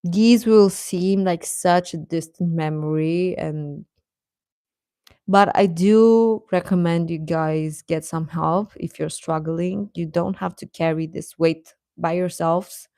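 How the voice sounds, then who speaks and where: A woman with a slightly low voice talks casually to her livestream audience. She is encouraging and reassuring as she offers advice, and the sound is dry, with very little room on it. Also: slow pace, mellow, dry recording. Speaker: livestream host talking with young viewers.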